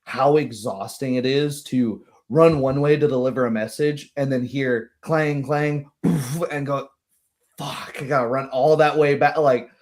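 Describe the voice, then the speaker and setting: A man's voice: mid-range voice, steady tone, measured pace, a little mellow. A man chatting casually as a game master, reflective and given to quoting his own thoughts aloud. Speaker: game master hosting a post-game discussion. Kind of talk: casual chat.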